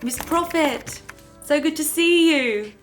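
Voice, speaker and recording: A woman's voice: sits high, animated, slow pace, faint music behind. An actress playing a polite, admiring, deferential female office assistant in an audio drama.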